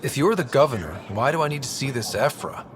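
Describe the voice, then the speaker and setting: A man's voice: medium pitch, animated, fast talker, a bit bright, lightly acted. A man playing an earnest, easygoing human space explorer in a video game, casual and diplomatic. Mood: curious.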